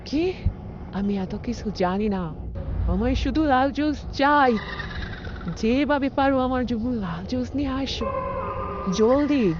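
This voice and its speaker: A woman's voice: high-pitched, lively intonation, slow, pauses often. A woman voicing a greedy, mocking female tyrant queen in a cartoon who cackles and barks orders. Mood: greedy, scornful. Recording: muffled lo-fi with loud noise.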